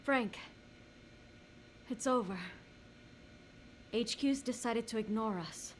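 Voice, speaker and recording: A woman's voice: fairly high pitch, takes it slowly, slight background noise. A woman playing an urgent, serious government agent in a dramatic video game cutscene. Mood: grave.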